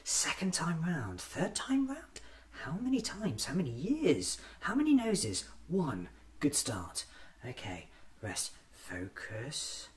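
A man in his thirties doing an ASMR roleplay as a scatterbrained, excitable alien doctor, muttering to himself in fragments, often in a whisper. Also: on the high side, takes it slowly, slight background noise. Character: scatterbrained, excitable time-travelling alien doctor, newly regenerated. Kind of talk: ASMR roleplay acted as a monologue.

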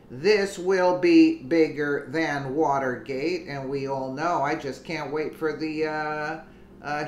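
A commentator reading a news article aloud with deliberate delivery and casual asides. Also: medium pitch, a bit bright, reverberant, a little hiss or hum.

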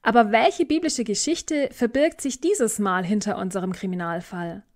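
A woman's voice: mid-range voice, moderate pace, a little mellow. A woman hosting a podcast, asking prepared interview questions and moderating. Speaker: podcast host.

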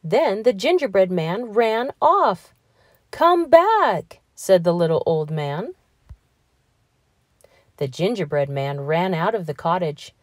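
A woman narrating a children's story as an expressive read-aloud host. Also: lowish voice, slow pace, no echo, studio quality. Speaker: storybook reader, channel host.

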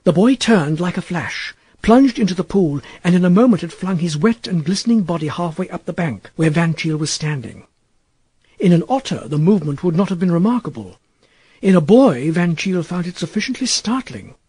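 A man reading literary fiction as an audiobook narrator, in a wry, expressive storytelling manner. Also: sits high, a little fast, slightly rough, no room sound. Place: dry studio.

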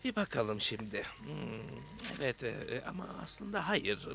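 A man playing a wise old fatherly gnome leader in a cartoon dub, giving instructions with gravelly authority. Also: medium pitch, very animated, unhurried, music in the background. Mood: thoughtful, firm.

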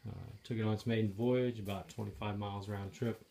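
A man with a low, gravelly voice talks slowly and plainly, pausing to find his words as he explains what he's doing. It sounds like a handheld phone recording in a garage, with a faint background hum. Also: steady tone, mellow. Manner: plain, matter-of-fact, halting with fillers. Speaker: DIY video maker. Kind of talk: casual step-by-step narration while assembling. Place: garage or shop and outdoors by a trailer. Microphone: handheld phone camera.

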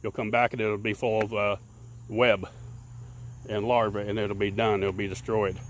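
A man's voice: on the low side, little pitch movement, moderate pace, a little hiss or hum. A man talking casually about beekeeping while working his hives outdoors, rambling and repeating words. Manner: rambling, repeats words, plain folksy speech. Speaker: beekeeper and channel host. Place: outdoors beside beehives in a grassy yard. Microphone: handheld camera held by the speaker.

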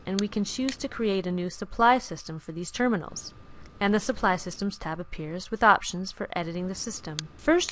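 A woman giving clear, even, scripted narration for a software screencast tutorial, recorded as a studio voice-over. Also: mid-range voice, a little hiss or hum. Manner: clear, even instructional delivery.

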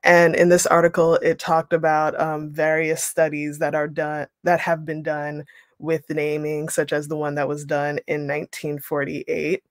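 A woman's voice: low voice, no echo, studio quality. Woman reading aloud on a video call. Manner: measured reading, then relaxed explaining with ums. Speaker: writing workshop leader. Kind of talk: reading aloud and teaching a workshop. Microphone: webcam on a video call.

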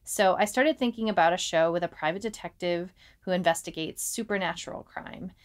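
A woman's voice: lowish voice, a little fast. A woman talking conversationally as a podcast co-host.

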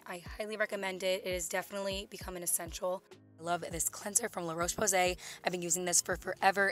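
A woman in her twenties with a slightly raspy voice, doing an enthusiastic voiceover for her beauty vlog, gushing about products she loves. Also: on the low side, fairly bright tone. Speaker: beauty vlogger. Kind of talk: voiceover for a skincare video. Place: home room, voice-over recorded separately.

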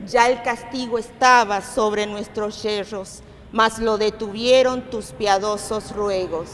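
A woman reciting devotional verses in a solemn, measured manner, as the leader of a prayer. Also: medium pitch, fairly even intonation. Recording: long reverberant hall, faint noise.

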